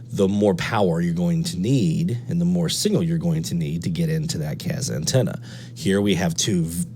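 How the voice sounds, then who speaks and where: A deep-pitched man with an American accent talks through a technical explanation as a hobby host. He is methodical and unscripted, restating his main points. A light noise and hum sit behind his voice. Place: indoor room at a radio desk. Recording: steady background noise and hum.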